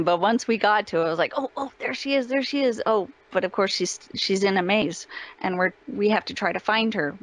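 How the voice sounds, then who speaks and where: A woman's voice: on the low side, rough recording. A woman giving a casual interview as a guest, cheerful and informal in manner. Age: adult parent of a young child.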